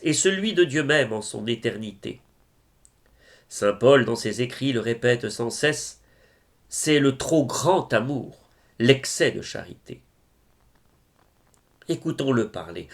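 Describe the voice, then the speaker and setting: Male reader for religious verse: solemn, reverent, unhurried delivery with a creaky, gravelly edge. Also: medium pitch. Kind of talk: solemn devotional poetry recitation.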